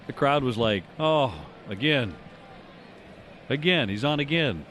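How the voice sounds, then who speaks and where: Medium-pitched joking male radio commentator. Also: animated, measured pace, fairly bright tone. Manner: joking, teasing. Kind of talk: casual broadcast banter. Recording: faint stadium noise behind a broadcast.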